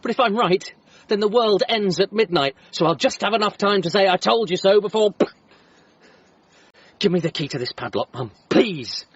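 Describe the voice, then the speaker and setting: A man's voice: sits high, lively intonation, normal speed, muffled recording, a little hiss or hum. A man acting an agitated, stammering, grieving son who is convinced of an alien conspiracy in a radio comedy drama. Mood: agitated, insistent. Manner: stammering, insistent.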